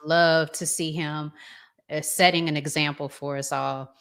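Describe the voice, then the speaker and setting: Woman, talk show host, lowish voice, very slow pace, informal and colloquial topic introductions. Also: fairly even intonation, a bit bright, slight background noise. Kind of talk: casual hosting, introducing topics.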